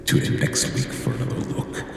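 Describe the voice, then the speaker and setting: An actor playing a sinister, solemn horror anthology host with theatrical, portentous delivery in a radio drama. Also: male voice, brisk, darkish tone, lightly acted. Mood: ominous, grave. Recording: cavernous long reverb, distant, faint noise.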